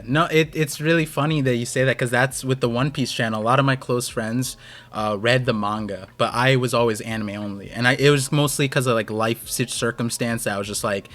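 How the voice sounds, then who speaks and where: A man's voice: medium pitch, a bit bright. A man hosting a stream, talking casually and rambling with lots of restarts. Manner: rambling, many fillers and restarts. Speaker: host.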